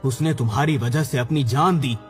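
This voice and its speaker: A man's voice: mid-range voice, moderate pace, lightly acted. A man voicing a suspicious old village uncle in a cartoon horror story, blunt, agitated and accusatory. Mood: scolding, urgent. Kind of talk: animated horror story acting. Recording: background music.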